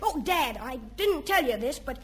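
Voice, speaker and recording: Very high, cheeky young boy. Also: animated, normal speed, fairly bright tone. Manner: cheeky, exclamatory. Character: cheeky, excitable young boy, the family son. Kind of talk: old-time radio comedy acting.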